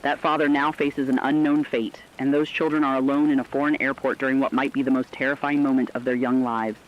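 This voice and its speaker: A man's voice: mid-range voice, little pitch movement, brisk, lightly acted. A man playing an earnest, compassionate radio co-anchor, giving solemn, emotive scripted commentary on breaking news. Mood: solemn, sorrowful. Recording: dull lo-fi radio sound, faint noise.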